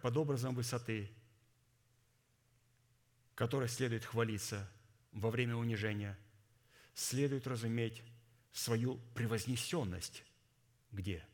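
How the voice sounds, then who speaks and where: A man delivering a sermon in a formal, didactic, deliberate way, his voice somewhat hoarse from a cold. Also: fairly low pitch. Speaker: preacher. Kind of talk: sermon with scripture readings and exposition.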